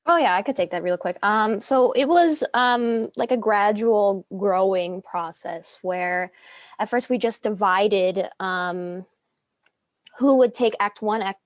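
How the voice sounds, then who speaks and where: A woman's voice: mid-range voice, slow pace, dry recording, clean studio sound. A young woman, a student, casually explaining a process step by step. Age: young adult. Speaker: student story editor.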